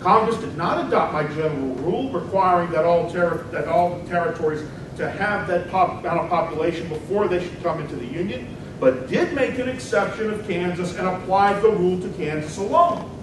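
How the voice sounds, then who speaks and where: A combative 19th-century senator acted out by a man declaims in a high-pitched voice, formal and emphatic. It is a dramatic reading of a stump debate speech, picked up at a distance in a very reverberant hall with clear rumbling noise. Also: fairly quick, natural voice. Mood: argumentative.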